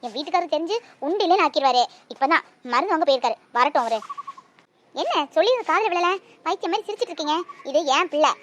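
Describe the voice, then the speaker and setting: A woman's voice: sits high, sing-song, fast talker, slight background noise. A woman playing a young mother who fiercely guards her child. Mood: angry. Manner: coaxing to her child, sharp when confronted. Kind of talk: Tamil drama acting.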